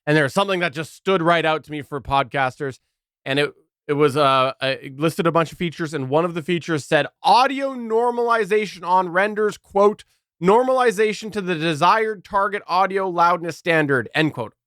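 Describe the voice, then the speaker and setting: A man co-hosting a casual podcast, talking with loud, uneven energy that swings from near-mumbles to sudden bursts. His voice sits fairly high and smooth. The sound is close and dry. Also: moderate pace, dry recording. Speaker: podcast co-host.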